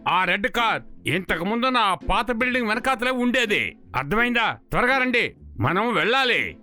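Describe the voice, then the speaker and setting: A man voicing a brisk, know-it-all parrot sidekick in a children's cartoon, insistent and explanatory. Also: sits high, big pitch swings, sharp tone, faint music behind, full character voice.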